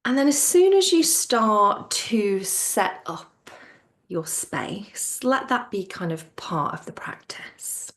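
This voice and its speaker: Slow, soft-spoken woman guiding relaxation. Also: medium pitch, very animated. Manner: soothing, gentle, unhurried with pauses. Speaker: yoga nidra teacher. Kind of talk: guided relaxation instruction.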